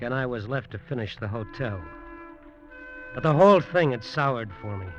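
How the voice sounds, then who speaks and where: An actor playing a tough, suspicious, hot-tempered resort builder in an old radio drama. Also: male voice, mid-range voice, a bit bright. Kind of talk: 1940s-50s radio drama acting, first-person narration. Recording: muffled vintage radio recording.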